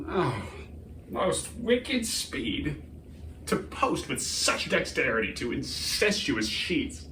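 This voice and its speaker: A man playing a grieving, embittered prince in a theatrical Shakespearean soliloquy, halting and declamatory, moving between hushed brooding and anguished outcry. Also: a little high, very animated, exaggerated voice. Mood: bitter grief. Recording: roomy, distant microphone, faint noise.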